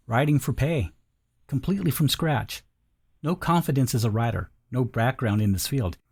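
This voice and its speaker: A man's voice: mid-range voice, a little mellow, husky. A man narrating a scripted sales video in a conversational, persuasive manner. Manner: conversational, persuasive, rhetorical questions.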